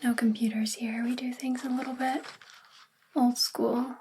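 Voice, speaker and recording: A woman playing a sweet witch librarian in an ASMR roleplay, speaking gently in a soft, breathy near-whisper. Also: fairly high pitch, flat delivery, slight background noise. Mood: gentle, chatty. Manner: gentle, unhurried, friendly. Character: sweet young female witch librarian in a magical town. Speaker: ASMR performer.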